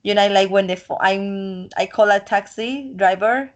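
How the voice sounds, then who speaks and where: Talkative, rambling Colombian-accented woman, native Spanish speaker, confident interviewee, mid pitch. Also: moderate pace, dull audio. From Colombian coast. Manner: talkative, rambling, self-assured. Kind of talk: casual chat.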